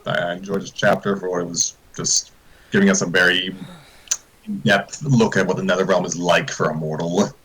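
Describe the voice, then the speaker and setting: A man's voice: low-pitched, steady tone, normal speed, a bit bright, rough recording. A man co-hosting a livestream, giving casual explanatory commentary.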